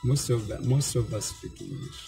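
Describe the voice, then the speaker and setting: A man's voice: mid-range voice, moderate pace, creaky. A man from Uganda with a Ugandan accent, chatting casually and encouragingly, repeating himself with many "eh" fillers. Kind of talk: casual chat. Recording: music playing loudly behind.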